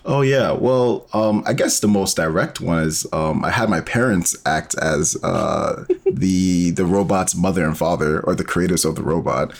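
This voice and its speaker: A man's voice: low-pitched, unhurried, gravelly, few pauses. A man speaking as an interview guest, explaining his storytelling in a casual, informal way and thinking aloud as he goes.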